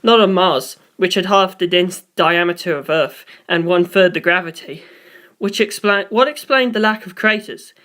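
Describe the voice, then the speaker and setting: A man's voice: high voice, normal speed, pushed and bright. A man reading scripted first-person story narration.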